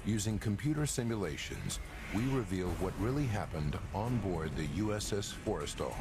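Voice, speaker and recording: A man's voice: fairly low pitch, expressive, moderate pace. A man with an American accent reading dramatic documentary narration in a grave, suspenseful manner. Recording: loud dramatic music underneath. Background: dramatic score.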